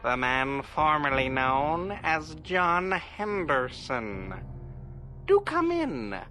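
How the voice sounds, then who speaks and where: Very slow, theatrical male host. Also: on the high side, big pitch swings, bright, forceful tone, faint music behind. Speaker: podcast host. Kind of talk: theatrical spooky episode introduction.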